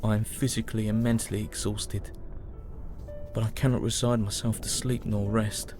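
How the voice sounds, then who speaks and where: An actor playing a weary, grieving young British soldier, narrating his war journal in a solemn, measured, mournful way. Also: on the low side, little pitch movement, close to an everyday voice. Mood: bleak, weary. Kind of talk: dramatic first-person journal narration. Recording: music behind the voice. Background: music.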